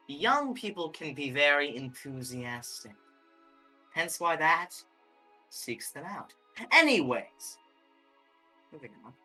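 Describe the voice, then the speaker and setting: A roleplay player speaking in character, measured and cryptic, often trailing off and changing the subject. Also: mid-range voice, big pitch swings, pushed and bright, slightly rough, faint music behind. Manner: measured, cryptic, keeps saying anyways.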